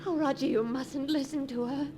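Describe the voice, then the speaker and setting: A woman's voice: high voice, steady tone, normal speed, noisy background, lightly acted. An actress playing an anxious young woman in a 1940s radio melodrama, on an old recording. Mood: terrified, pleading.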